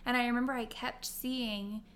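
A young adult woman from Kentucky and Ohio, co-hosting a podcast with animated, playful chat and quick laughter. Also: a little high. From Kentucky and Ohio, USA. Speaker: podcast co-host. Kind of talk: casual chat.

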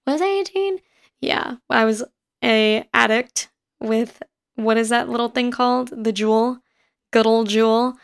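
A woman in her twenties with a raspy voice, hosting a casual podcast and rambling quickly through personal stories. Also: a little high. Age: 20s. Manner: fast-talking and rambling. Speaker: podcast host. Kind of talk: casual chat. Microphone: home recording setup.

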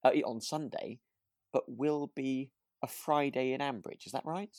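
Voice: mid-range voice, unhurried, darkish tone, no echo, clean studio sound. A podcast co-host chatting casually in a musing, slightly hesitant way. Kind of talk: relaxed conversational podcast chat.

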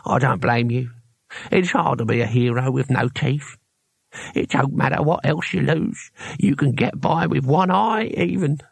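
A man voicing a gruff, wry old barbarian hero in a storybook, toothless and mumbling, with colloquial grumbling speech. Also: medium pitch, unhurried, no room sound. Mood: weary, muttering. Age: older.

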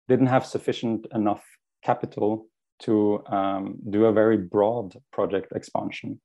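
Low, gravelly man. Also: warm tone. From Norway. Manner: thoughtful, often repeats words while finding phrasing. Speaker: guest, startup co-founder. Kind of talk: remote interview answers.

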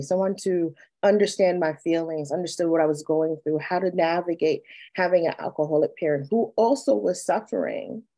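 Woman from barbados, therapist guest on an interview, low soft dark tone, reflective personal talk. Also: vocal fry.

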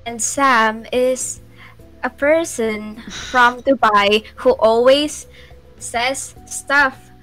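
A young woman co-hosting a podcast in casual chat, teasing and unhurried. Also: a little high, sharp tone, faint music behind, poor audio. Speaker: podcast co-host.

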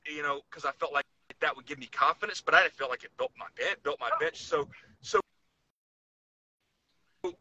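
A man's voice: on the high side, normal speed, pauses often, sharp tone, dry recording, poor audio. A man co-hosting a casual talk podcast, speaking in an emphatic, opinionated way and repeating himself to drive points home. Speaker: co-host, powerlifting coach.

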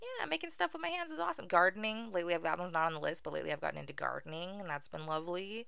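Young woman podcast host, smooth but gravelly low voice, self-deprecating humor. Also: expressive, rapid-fire, pushed and bright, no room sound. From Venezuela. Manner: wry, self-deprecating, with filler ums. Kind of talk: casual solo podcast monologue.

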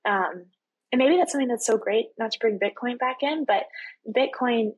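A woman in her twenties from Texas, a student guest chatting casually in an interview, full of fillers and quick laughs. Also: fairly high pitch, husky, no echo, phone-quality sound. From Texas, USA. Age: 20s. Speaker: podcast guest, university student.

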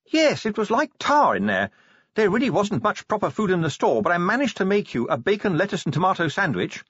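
A brisk, bossy noblewoman fusses and declares her verdicts, as a male narrator raises his husky voice for her. Her lines bounce with lively pitch. The recording is dry and slightly muffled. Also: no echo, exaggerated voice. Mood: bustling. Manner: brisk, fussing, matter-of-fact. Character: bossy caring noblewoman, wife of the commander. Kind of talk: audiobook character voice.

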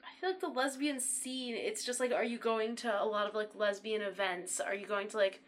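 A woman's voice: medium pitch, steady tone, moderate pace, slight background noise. A woman joining a casual podcast chat, thinking aloud with a string of questions.

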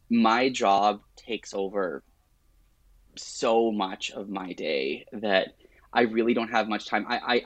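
A man's voice: fairly low pitch, no echo. A middle-aged man, chatting casually in an interview in a wry, self-deprecating way. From born in Lebanon, grew up partly in rural Alberta, Canada. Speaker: realtor, interview guest.

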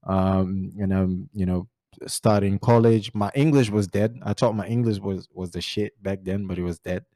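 A man in his twenties from Portugal, speaking English with a Portuguese accent, hosting a relaxed podcast chat full of fillers. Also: low-pitched, moderate pace, no room sound, studio quality. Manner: relaxed, rambling, many fillers. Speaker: podcast host. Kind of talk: casual chat.

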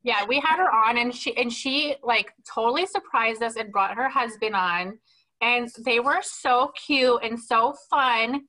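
A woman on a podcast chatting casually, gushing and excitable. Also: on the high side, unhurried, poor audio. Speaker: podcast host. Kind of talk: casual chat.

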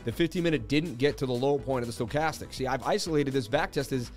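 A man's voice: medium pitch, quick pace, faint music behind. A man hosting a crypto livestream, losing his voice, talking in hyped, boastful banter with his viewers. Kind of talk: casual livestream market commentary.

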